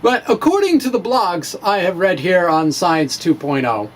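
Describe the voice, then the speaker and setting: A man with a fairly high voice reads in a wry, theatrical way, dropping into casual asides. His delivery is slow and swings widely in pitch. There is some faint noise behind him. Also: animated. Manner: wry, playful, theatrical emphasis. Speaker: physics blogger reading his own blog. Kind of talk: dramatic reading with casual asides.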